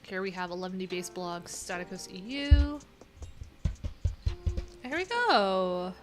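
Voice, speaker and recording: A woman thinking aloud in a casual, unscripted tech livestream, informal and halting, often trailing off mid-thought. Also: medium pitch, expressive, music in the background. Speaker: livestream host, web developer.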